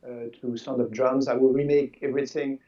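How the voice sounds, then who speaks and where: A man of sixty whose first language is French, a game designer chatting in a video call interview, halting and repeating words. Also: mid-range voice, little pitch movement, mellow. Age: 60s. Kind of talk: casual interview chat. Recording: distant, dull lo-fi sound.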